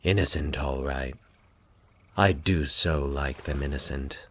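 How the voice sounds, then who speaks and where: A man playing a sinister solitary man with polite, measured speech and a coldly calculating, hushed manner in a horror story. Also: a bass voice, slightly rough, voice pushed down, exaggerated voice. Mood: sinister, relishing.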